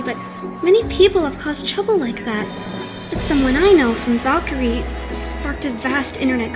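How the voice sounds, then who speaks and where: Gentle young boy idol, voiced by a woman, high pitch, modest, politely hesitant, apologetic, anime fan dub, music underneath. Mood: modest, friendly.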